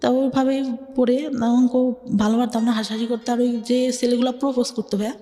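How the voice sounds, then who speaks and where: Soft, hesitant young Bangladeshi woman. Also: a little high, level tone, fairly quick, big-room sound. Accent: Bangladeshi Bengali. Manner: hesitant, soft, many filler words. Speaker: interview guest. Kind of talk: personal life story in conversation.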